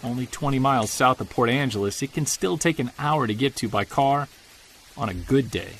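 An earnest Bigfoot investigator, played by a man, speaking softly and confessionally in a gravelly voice. Faint ambience hums behind him throughout. It is an audio drama performance. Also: medium pitch, moderate pace, slight background noise, lightly acted. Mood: hopeful. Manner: earnest, soft-spoken, confessional.

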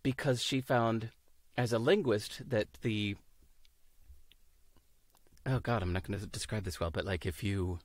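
A man's voice: fairly low pitch, unhurried, pauses often, no room sound. A man in his fifties from the United States, a guest chatting on a video call, thoughtful, searching for words and laughing easily. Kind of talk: casual interview chat. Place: home room, indoors.

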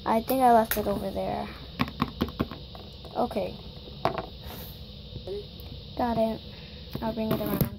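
High-voiced girl running a toy-play scene. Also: steady tone, slow pace, creaky, long pauses, heavy background noise. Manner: chatty, bossy, running the scene. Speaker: toy video maker. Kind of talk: improvised toy play-acting.

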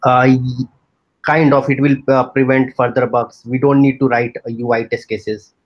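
A man asks an informal question as an audience member. His voice sits at a medium pitch, and the call audio is dry and dull. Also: little pitch movement, normal speed, no echo.

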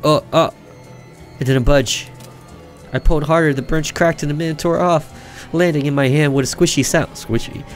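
A man's voice: on the high side, fairly even intonation, normal speed. A man reading a visual novel aloud as a gaming host, dramatic and theatrical, sometimes stumbling and rereading. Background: game music.

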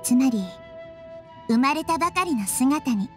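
A calm young girl goddess. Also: high-pitched, a little slow. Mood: reassuring. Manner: soft, composed, reassuring. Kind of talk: Japanese game voice acting. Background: game music.

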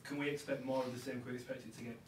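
A man, a reporter asking quick questions at a press conference, heard off-mic. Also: mid-range voice, little pitch movement, echoey room, far from the mic. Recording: off-mic, with faint noise.

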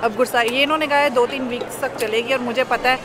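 A woman's voice: a little high, fairly even intonation, fast talker, noisy background. A young woman vlogging at an outdoor farmers market, chatting with viewers and mixing in English as she holds the camera at arm's length. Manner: chatty, addresses viewers as "bhai", mixes English in. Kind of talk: casual vlog chat. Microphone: handheld camera at arm's length. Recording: loud music and crowd around.

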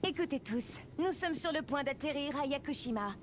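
A woman's voice: sits high, lively intonation, a little slow, noisy background. An actress playing a poised young woman who speaks in a composed, formal way.

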